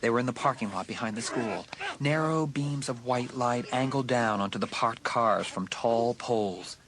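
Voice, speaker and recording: A man with a husky voice giving suspenseful, scripted narration of a dramatised audiobook. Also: mid-range voice, moderate pace, background noise. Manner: suspenseful storytelling.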